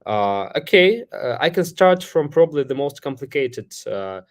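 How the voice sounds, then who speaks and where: A man from Ukraine, a company CEO, answering audience questions in a live online session, speaking haltingly with many fillers and restarts. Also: medium pitch, big pitch swings.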